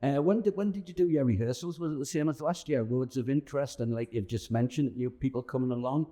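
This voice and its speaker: Mellow man interviewing. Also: medium pitch, fast talker. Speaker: host interviewer for a town TV channel. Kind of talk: on-location interview.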